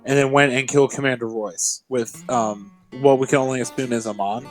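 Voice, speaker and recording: A man's voice: medium pitch, steady tone, brisk, faint music behind, poor audio. A man playing a tabletop roleplaying game, talking casually and recapping events. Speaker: player.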